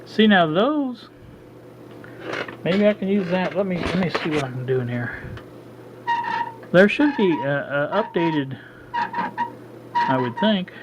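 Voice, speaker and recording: A man demonstrating his work at a home workbench, narrating casually as he goes and often pausing with a halting "uh" while he thinks aloud. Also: high voice. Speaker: hobbyist radio restorer. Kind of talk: casual hands-on demo narration. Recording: dull lo-fi with steady background noise.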